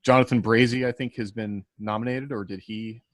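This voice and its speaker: A man's voice: medium pitch, steady tone, fairly quick, dry recording. A man speaking as a co-host in a casual podcast chat. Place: video call group podcast.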